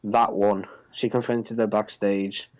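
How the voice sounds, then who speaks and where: A man co-hosting a podcast in casual recap chat, blunt and argumentative, quick to cut in. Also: lowish voice, level tone, a little mellow, highs cut off. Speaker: podcast co-host.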